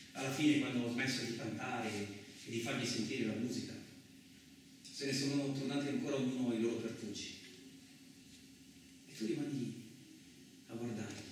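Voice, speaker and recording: An actor playing a gruff, fed-up truck driver in a rambling, coarse radio-play monologue. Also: male voice, mid-range voice, natural voice. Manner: colloquial, rambling, repetitive, coarse interjections. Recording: very echoey, distant, noisy room recording.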